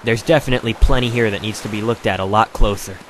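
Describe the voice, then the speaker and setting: A man's voice: lowish voice, steady tone, quick pace, slight background noise, close to an everyday voice. A man playing a cocky, heroic young hedgehog adventurer in a fan audio drama. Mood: stern, defiant.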